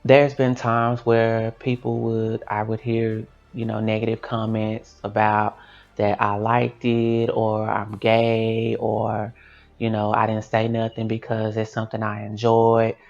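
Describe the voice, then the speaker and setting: A man giving earnest, measured testimony in a documentary interview. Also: fairly low pitch, monotone, gravelly, a little mellow, dull audio, faint music behind.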